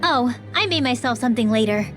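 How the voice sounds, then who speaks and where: An actress voices a suspicious, feisty girl in a fantasy game cutscene. Her voice is high and youthful, her delivery pert, with light music underneath. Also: lively intonation, fast talker, lightly acted. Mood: wary, indignant. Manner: pert, wary, emphatic. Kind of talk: dramatic video game acting.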